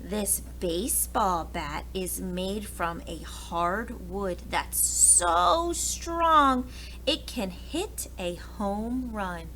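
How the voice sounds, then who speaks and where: A woman's voice: medium pitch, lively intonation, extremely slow, sharp tone, a little hiss or hum, rough recording. A woman reading a picture book aloud to preschoolers, expressively and speaking directly to the young listeners. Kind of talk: children's picture book read-aloud with asides.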